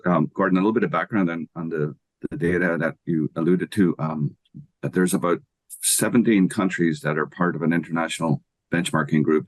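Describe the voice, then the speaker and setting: A man in his sixties with an Irish accent, giving measured, patient expert answers as an interview guest. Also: very deep voice, slightly rough, dry recording. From Ireland. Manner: measured, thoughtful, patient explaining. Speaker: guest expert, economics professor.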